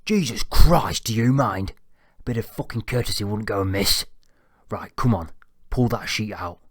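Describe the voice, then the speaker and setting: A man voicing a crude, abusive, bedridden old father, gruff and demanding. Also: fairly low pitch, normal speed, no echo, clean studio sound, voice pushed up. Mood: mocking. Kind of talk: audiobook character voice.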